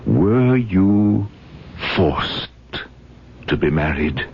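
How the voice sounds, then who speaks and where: An actor playing a gentle, fatherly village rabbi in an old-time radio drama, hesitant and questioning. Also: deep voice, lively intonation, very slow, gravelly, exaggerated voice. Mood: probing. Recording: muffled, faintly noisy old radio recording.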